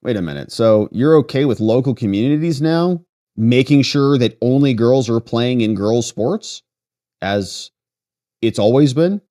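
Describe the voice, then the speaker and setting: Male host for political livestream: mid-pitched, casual delivery, mocking and sarcastic. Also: measured pace, warm tone. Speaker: host of a political commentary show.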